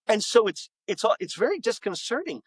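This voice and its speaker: A man's voice: sits high, sing-song, moderate pace, breathy edge, no room sound. A middle-aged man, a podcast guest and author, telling casual anecdotes in an enthusiastic, rambling way.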